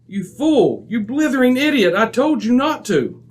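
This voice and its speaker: A man playing a commanding, impatient detective in an old-time radio drama, crisp and didactic. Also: very high voice, sing-song, a little slow, exaggerated voice. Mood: urgent, exasperated. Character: commanding, razor-sharp, impatient male detective.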